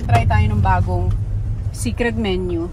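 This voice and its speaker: A woman's voice: medium pitch, steady tone, measured pace, background noise. A woman with a Filipino accent in a car, teasing and hurrying the others along. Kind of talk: casual chat. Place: inside a car. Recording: loud music in the car.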